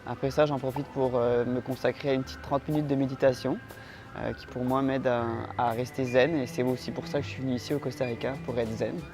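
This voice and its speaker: Homme calme et hésitant, musique en fond. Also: male voice, medium pitch, a little fast. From France. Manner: calm, hesitant, many filler sounds. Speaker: interior designer, interviewee. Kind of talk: casual interview answers. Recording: music playing underneath.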